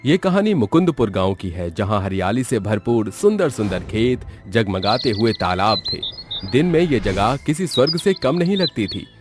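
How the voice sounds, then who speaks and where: Male storyteller for ghost cartoons: fairly low voice, scripted delivery, background music. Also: sing-song, moderate pace. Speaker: story narrator. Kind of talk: scripted horror cartoon narration.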